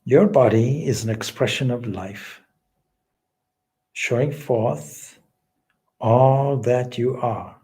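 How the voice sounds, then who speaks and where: A man leading a guided meditation as a spiritual teacher, speaking in measured, deliberate, solemn phrases with long pauses. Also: mid-range voice, warm tone.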